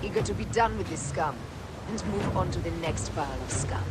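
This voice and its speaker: A woman's voice: on the low side, lively intonation, brisk, close to an everyday voice. An actress playing a fierce, vengeful female warrior in a video game cutscene, grave and commanding. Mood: dry, wary. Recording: loud music under the voice.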